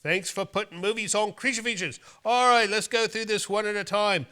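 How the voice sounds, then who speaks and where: Guy w a high bright voice, theatrical show host bantering and reading letters. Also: fairly even intonation, fairly quick, clear, resonant tone. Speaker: host of a horror movie show. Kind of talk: theatrical casual banter while reading viewer mail.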